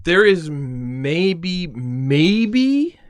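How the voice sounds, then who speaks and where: Animated, higher-voiced man chatting on a livestream. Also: drawn-out words, smooth, no echo. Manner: animated, rambling explainer. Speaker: livestream host. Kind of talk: casual livestream chat with his mother.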